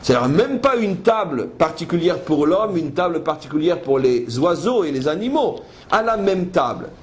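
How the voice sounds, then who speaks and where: Male rabbi lecturer, fairly high voice, emphatic teacher's delivery with rhetorical questions, live class recording with light background noise. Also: sing-song, normal speed. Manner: switches between French and quoted Hebrew verses. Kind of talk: religious lecture with commentary on scripture.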